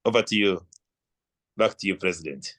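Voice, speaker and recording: Male Ugandan meeting host, lower register, courteous and formal, encouraging delivery. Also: normal speed, a bit bright, no room sound. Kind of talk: addressing a club meeting.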